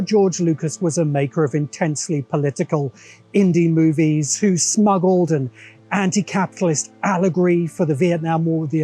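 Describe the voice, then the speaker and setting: A man narrates a scripted video essay in a soft, relaxed, slightly high voice. He is opinionated and dryly sardonic, with light music playing behind him. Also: slightly rough. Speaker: host of a science fiction commentary show. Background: background music.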